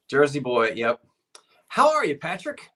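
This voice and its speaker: A man's voice: a little high, a bit bright. A man hosting a casual live-stream sale over a video call, folksy and homespun in how he talks.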